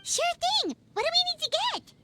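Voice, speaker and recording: Perky squeaky little game sidekick, super high, bouncy pitch, childlike. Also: female voice, very animated, brisk. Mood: eager. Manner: perky, refers to self in third person. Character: tiny childlike floating fairy companion, cheeky and excitable. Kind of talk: anime-style video game character acting. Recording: loud music behind the voice.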